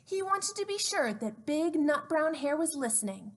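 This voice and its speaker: A woman hosting a story time for small children, speaking in a simple, expressive, child-directed way as she narrates. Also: high voice, normal speed.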